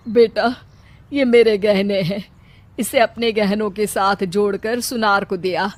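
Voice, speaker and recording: A woman's voice: mid-range voice, fairly even intonation, unhurried, close to an everyday voice. A woman voicing an anxious, caring older widowed mother-in-law in a village cartoon story. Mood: resigned, worried. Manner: worried, maternal.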